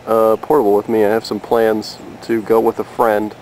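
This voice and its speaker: A man's voice: fairly low pitch, completely flat delivery, normal speed, a little mellow. A man giving a casual, unscripted demonstration of his hobby gear outdoors, hesitant and thinking aloud as he films with a handheld camera. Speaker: amateur radio hobbyist filming his gear. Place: outdoors in a yard. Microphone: handheld camera microphone. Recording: noisy handheld camera with background hum.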